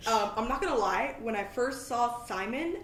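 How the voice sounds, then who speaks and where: A woman's voice: a little high, lively intonation, normal speed, a bit bright. A woman hosting a podcast, chatting casually in a rambling, self-deprecating way. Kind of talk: casual chat. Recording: echoey room, faint background noise.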